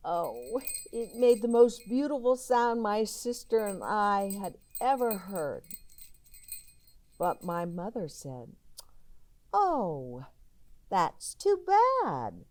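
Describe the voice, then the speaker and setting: A woman reading a children's story aloud expressively, with deliberate dramatic pauses and emphasis on single words. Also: medium pitch, animated, a little mellow, dry recording.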